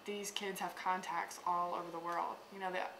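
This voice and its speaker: A woman's voice: on the low side, little pitch movement, moderate pace. A woman talking in a chatty, upbeat way in a documentary interview. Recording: echoey room with noise.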